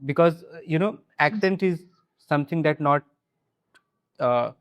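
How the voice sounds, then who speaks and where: Man, Bihari Indian English accent, English trainer, relaxed and encouraging, dry close sound on a video call. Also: fairly high pitch, unhurried, no echo, muffled recording. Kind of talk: casual video-call conversation.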